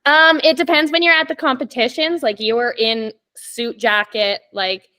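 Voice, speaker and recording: A woman's voice: sits high, steady tone, moderate pace, pushed and bright. Chatty young Canadian woman guest. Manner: chatty, laughs often, many "like" and "um" fillers. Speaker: podcast guest. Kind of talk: casual storytelling chat.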